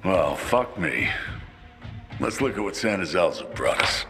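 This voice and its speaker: A man's voice: sits low, sing-song, measured pace, vocal fry, pushed and bright, heavily acted. A man voicing an army lieutenant who is angry, sarcastic and curses a lot. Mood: furious. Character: bitter male lieutenant, furious, grieving. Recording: reverberant cutscene with music.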